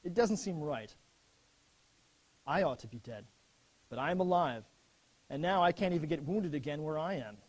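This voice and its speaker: Man acting earnest grieving officer, higher-pitched voice. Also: expressive, normal speed, long pauses, a little mellow. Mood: guilty, troubled. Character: young American army officer, earnest, grieving, writing letters home. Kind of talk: dramatic reading of wartime letters.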